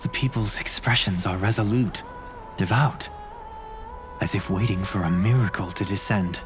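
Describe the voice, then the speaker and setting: A man's voice: lowish voice, moderate pace, natural voice. Earnest, formal young-hero type over music, a man acting. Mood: earnest, intense. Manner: formal, grave, polite. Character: earnest, formal, courteous companion on a quest. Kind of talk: video game voice acting. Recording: loud music under the voice.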